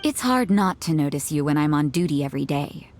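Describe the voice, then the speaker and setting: A woman's voice: low-pitched, lively intonation, fairly quick, a little mellow. A woman voicing a stern, watchful guard in a video game, curt and matter-of-fact. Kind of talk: English video game voice acting.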